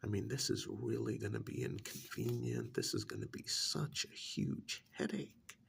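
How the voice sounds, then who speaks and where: A man playing a calm, menacing killer in a soft-spoken ASMR horror role-play, hushed and deliberate, with a condescending, musing manner. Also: fairly low pitch, exaggerated voice. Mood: irritated, condescending. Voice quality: breathy half-whisper with gravelly creak. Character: calm, menacing male killer who preserves victims as art.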